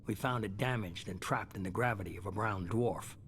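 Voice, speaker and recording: An actor playing a shadowy, coolly self-justifying middle-aged leader in a video game, measured, authoritative and unapologetic. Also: male voice, on the low side, a bit bright, a little hiss or hum, lightly acted. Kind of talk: dramatic video game dialogue.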